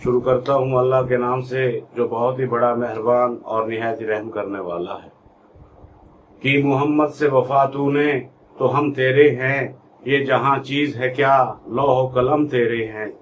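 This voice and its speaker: A man with a Pakistani accent giving an instructional lecture in a deliberate, emphatic way, repeating key terms. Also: medium pitch, fairly even intonation, poor audio. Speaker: law lecturer, YouTube channel host. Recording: faint background noise.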